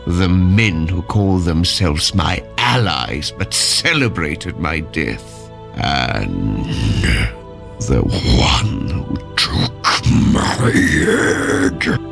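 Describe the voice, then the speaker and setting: A slow, deep undead tyrant king. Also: very animated, vocal fry, exaggerated voice. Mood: brooding menace. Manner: slow, brooding, grandiose pronouncements. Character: vengeful tyrant king returned from death, cold and menacing. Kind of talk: dark fantasy audio drama acting. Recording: music under the voice. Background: dramatic music.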